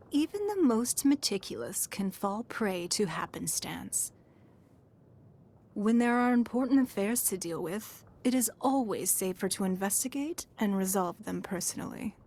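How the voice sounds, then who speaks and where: A woman voicing a poised, shrewd, wealthy young businesswoman in a fantasy video game, with formal, measured and elegant delivery. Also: mid-range voice, darkish tone. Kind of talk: scripted video game character voice lines. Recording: music behind the voice. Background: music.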